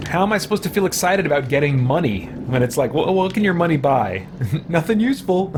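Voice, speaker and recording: A man's voice: fairly high pitch, very animated, fairly quick. A man doing casual, sardonic let's play commentary on a livestream, with some theatrical roleplay. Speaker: game livestream host. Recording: clear background game noise. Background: video game ambience.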